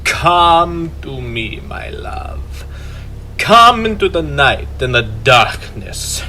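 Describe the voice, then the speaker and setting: Very slow, seductive male vampire. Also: a little high, very animated, bright, forceful tone, a little hiss or hum, exaggerated voice. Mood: hypnotic, commanding. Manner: slow, drawn-out, incantatory delivery. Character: seductive male vampire master, commanding and hypnotic. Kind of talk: radio drama acting.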